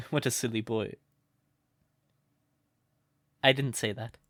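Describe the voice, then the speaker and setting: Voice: mid-range voice, steady tone, takes it slowly, a bit bright, dry recording, clean studio sound. A performer voicing a shy, smitten crow turned human in an intimate comfort roleplay, hesitant and stammering, naively earnest. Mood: puzzled, amused.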